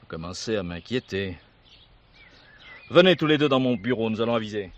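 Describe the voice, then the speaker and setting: An actor playing a haughty, self-assured country heir in a stage play, curt, commanding and dismissive. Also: male voice, lowish voice, lively intonation, fairly quick, highs cut off, close to an everyday voice. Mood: breezy, dismissive. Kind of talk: French stage play dialogue.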